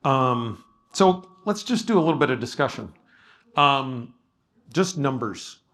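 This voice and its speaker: A man's voice: mid-range voice, lively intonation, measured pace, a bit bright. A man leading a workshop discussion as a pastor, talking casually and modestly, with frequent ums and restarts in front of a live audience.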